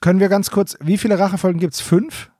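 Ein Mann, der in einem lockeren Podcast aufgedreht und verspielt beim Quiz rät. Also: male voice, high-pitched, fast talker, dry recording, clean studio sound. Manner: excitable, playful guessing. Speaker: podcast co-host. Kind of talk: casual podcast chat.

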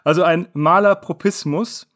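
Ein Mann, der als Quizleiter in einem lockeren Podcast Begriffe vorliest und erklärt. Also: male voice, high-pitched, normal speed, a bit bright. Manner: reads definitions aloud, explains. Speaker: quiz host. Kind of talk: casual podcast quiz.

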